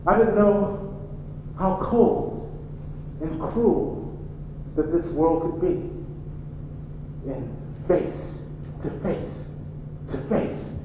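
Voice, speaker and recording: A man performing a grieving mother in a stage play, telling a painful story in a slow, halting way. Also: high-pitched, far from the mic, background noise. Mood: sorrowful. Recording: echoey, dull live hall recording.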